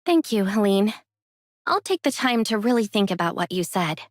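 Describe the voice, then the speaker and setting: A woman's voice: medium pitch, sing-song, moderate pace, no echo, studio quality, close to an everyday voice. A woman playing an easygoing, friendly young heroine in an anime game, speaking casually with a light teasing edge.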